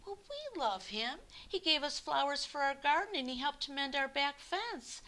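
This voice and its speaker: A woman puts on a fond, concerned storybook neighbour. The delivery is eager and heartfelt, pitched up high and bright. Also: very animated, normal speed, exaggerated voice. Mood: fond, insistent.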